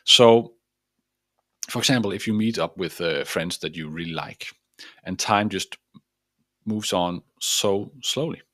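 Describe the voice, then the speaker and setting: A man's voice: sits low, a little slow, long pauses, fairly bright tone, dry recording. Danish-accented man coaching on manifestation. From Denmark. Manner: earnest, searching for words with restarts; explains while drawing a diagram. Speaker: transformative coach. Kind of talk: self-help video talk.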